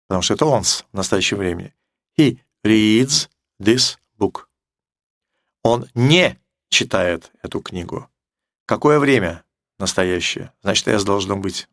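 A Russian-speaking man teaching English grammar, explaining with emphatic repetition and slowing down for English examples in a Russian accent. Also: on the low side, no echo, clean studio sound. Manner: emphatic, repeats key words, slows down for English examples.

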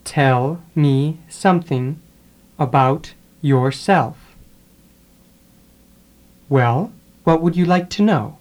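A speaker drilling English phrases for learners, saying each sentence and then repeating it one word at a time with pauses. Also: medium pitch, a little mellow. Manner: deliberate, pausing after each word. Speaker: English teacher.

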